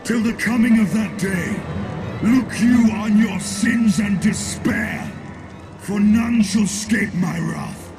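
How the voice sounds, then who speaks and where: A man's voice: sits high, slow, gravelly, heavily acted. A man playing a wrathful ancient dragon in a video game, declaiming grandly in archaic phrases. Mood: wrathful, commanding. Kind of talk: theatrical video game acting. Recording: game audio under loud music.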